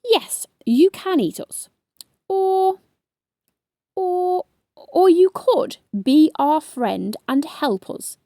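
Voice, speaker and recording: A woman's voice: animated, very slow, warm tone, no echo, clean studio sound, exaggerated voice. Woman doing a timid little hedgehog boy. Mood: pleading. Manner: nervous, earnest, squeaky when scared. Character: small brave young male hedgehog.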